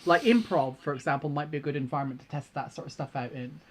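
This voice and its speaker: Co-host, medium pitch, casual thoughtful advice, kinda feeling out the words, lots of tag questions. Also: fast, slight background noise. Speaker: co-host giving feedback.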